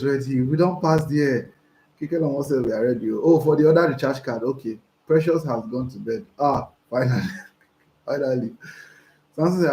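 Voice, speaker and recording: A young Nigerian man with a slightly hoarse voice, coaching viewers live and reading their messages aloud, excitable and prone to restarts. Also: medium pitch. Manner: excitable, repeats himself, stumbling restarts. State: hoarse from talking. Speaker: online business coach. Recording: roomy echo, faint background noise.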